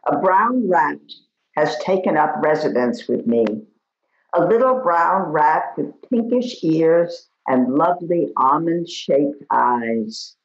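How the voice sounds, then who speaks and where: A woman reading poetry aloud in a slow recitation, recorded in an echoey room with dull audio. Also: sits low, animated. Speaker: poetry reader.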